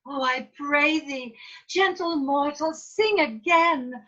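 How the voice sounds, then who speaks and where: A woman playing a regal, doting fairy queen in a Shakespeare table read, speaking measured verse theatrically over a video call. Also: sits high, a bit bright. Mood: lovestruck. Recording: muffled video call audio.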